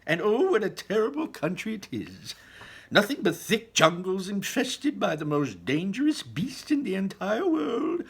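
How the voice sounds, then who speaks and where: An eccentric, proud chocolate factory owner from a children's storybook, acted out by a man reading aloud. The voice is pushed high and swoops animatedly. It is theatrical and emphatic, with a rough, husky edge. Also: wildly swooping pitch, a little slow, exaggerated voice. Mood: dramatic, horrified. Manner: exclaiming, dramatic, emphatic storytelling.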